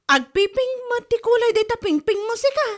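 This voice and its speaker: A woman with an Ilocano accent playing a gossipy, excitable beauty parlor owner in a comedy drama. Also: very high voice, moderate pace. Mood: teasing gossip.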